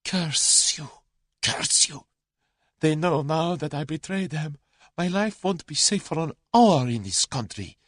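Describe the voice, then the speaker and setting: A man voicing a frightened male Russian agent who speaks slowly, in a strained and unsteady way. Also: a little high, lively intonation, fairly bright tone, slightly rough, no echo, clean studio sound, voice pushed up, exaggerated voice. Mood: desperate, snarling. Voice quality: slow, strained, unsteady delivery.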